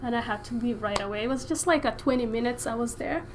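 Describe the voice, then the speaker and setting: A woman's voice: a little high, fast talker, slight background noise. A woman from Somalia, a nurse, telling a shared story to camera in a chatty way and teasing her co-host.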